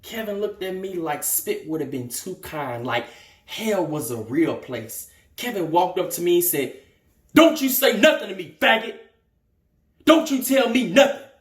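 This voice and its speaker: High-pitched, wounded young man, acted out by a man. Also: animated, unhurried, recorded from a distance. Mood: bitter recounting. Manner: theatrical, emphatic, swinging from outburst to hushed hurt. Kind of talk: dramatic stage monologue. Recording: echoey room.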